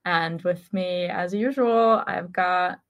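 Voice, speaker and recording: A woman's voice: fairly low pitch, slow, muffled recording. A woman in her twenties hosting a casual fan chat podcast. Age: 20s. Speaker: podcast host.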